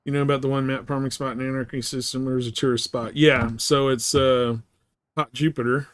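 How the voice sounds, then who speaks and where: A man streaming a game live, chatting casually and thinking out loud in a rambling way. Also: mid-range voice, flat delivery, brisk. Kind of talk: casual gameplay chat.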